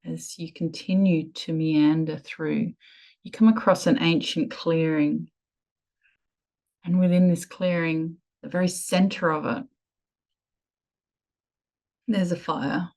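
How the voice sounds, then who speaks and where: A woman leading a guided meditation in a soothing, measured way with lingering pauses. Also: sits low, fairly even intonation, a little mellow.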